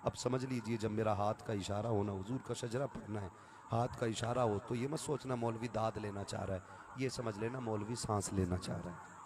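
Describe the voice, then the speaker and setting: A man's voice: lowish voice, steady tone, normal speed, noisy background. A man delivers a conversational religious sermon to a live gathering, urging listeners to respond and at times reciting verse. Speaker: Islamic preacher.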